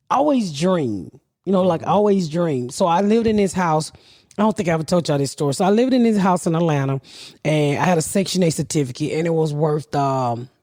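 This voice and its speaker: Mulher falante e desbocada, som seco. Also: female voice, on the low side, warm tone, no room sound, studio quality. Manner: profane, blunt, rambling. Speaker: podcast host. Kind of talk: casual storytelling.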